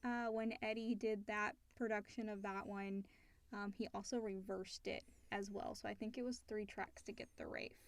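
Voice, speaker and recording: A woman's voice: mid-range voice, steady tone, moderate pace, no echo. A woman co-hosting a podcast in a casual, conversational way. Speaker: podcast co-host and voice actor. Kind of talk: casual chat. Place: recording booth.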